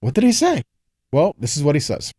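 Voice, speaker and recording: A man's voice: fairly high pitch, huge pitch swings, brisk, dark tone, husky, no echo, studio quality. An American man giving a conversational sermon as a Bible teacher, often posing rhetorical questions and checking in with listeners. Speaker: preacher teaching a scripture lesson. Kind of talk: conversational sermon with scripture readings.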